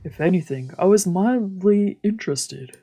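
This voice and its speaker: A woman streamer with a breathy voice reading visual novel text aloud as dramatic narration. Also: on the low side, lively intonation, a little slow, mellow. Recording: game music behind the voice. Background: game music.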